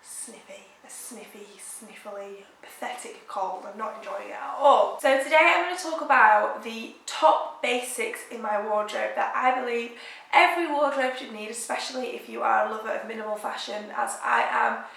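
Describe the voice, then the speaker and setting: A woman's voice: a little high, normal speed, vocal fry, fairly bright tone. A young woman with a head cold, chatting casually to camera about fashion with lots of "um" and "like". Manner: chatty, with frequent "um" and "like". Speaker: fashion vlogger. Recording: echoey room.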